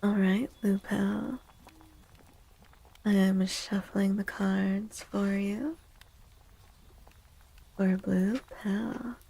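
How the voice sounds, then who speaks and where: A woman hosts a tarot stream in a gentle, whispery ASMR voice. Her tone is mellow and dark, with a noticeable vocal fry. She takes her time over each phrase, close on the mic. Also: medium pitch, level tone, rough recording. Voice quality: breathy, often dropping into a whisper. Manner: gentle, slow, drawn-out phrasing. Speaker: livestream host doing tarot readings. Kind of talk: soft-spoken ASMR, chatting to viewers. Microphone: close microphone.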